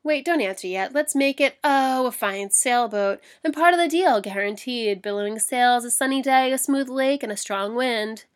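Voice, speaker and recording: A woman putting on a sly, rasping male trader. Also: a little high, normal speed, hardly any pauses, voice pushed up, lightly acted. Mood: taunting. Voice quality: hoarse, rasping, hissing. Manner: taunting, wheedling. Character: sly, cruel, sneering male trader, grown man.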